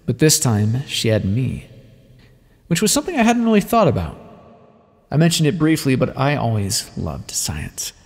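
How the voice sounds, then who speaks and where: A man's voice: mid-range voice, sing-song, moderate pace, vocal fry, slightly rough, heavily acted. Man playing a sardonic vampire, swooping and reverberant. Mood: wry, resigned. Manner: wry, wordy, self-mocking, theatrical. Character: sardonic, bitter male vampire scientist, theatrical and teasing. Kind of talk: ASMR roleplay monologue, dramatic storytelling. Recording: long reverberant echo on the voice.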